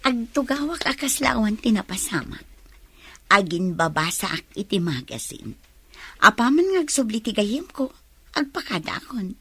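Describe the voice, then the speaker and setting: A woman's voice: medium pitch, big pitch swings, normal speed, sharp tone, slight background noise. An actress playing a sly, scheming young woman in a radio drama, often musing aloud to herself.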